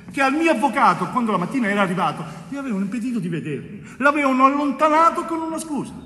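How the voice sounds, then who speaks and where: An actor playing a young, wrongly accused man in a stage monologue, pleading desperately and loudly in agitated, piled-up clauses. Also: high-pitched. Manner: agitated, insistent, loud, breathless piling up of clauses. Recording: roomy stage recording, distant mic, faint noise.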